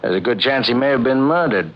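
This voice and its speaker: An actor playing a polite, hesitant police detective in a 1940s radio drama, folksy and quietly probing. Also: male voice, mid-range voice, animated, close to an everyday voice. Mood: politely probing. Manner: hesitant, folksy, unhurried questioning. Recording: muffled old radio recording, faint noise.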